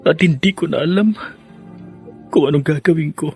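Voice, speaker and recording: A man's voice: fairly high pitch, normal speed, darkish tone, faint music behind, close to an everyday voice. An actor playing a torn young man grieving his mother, speaking earnestly and pleadingly in a radio drama. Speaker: son.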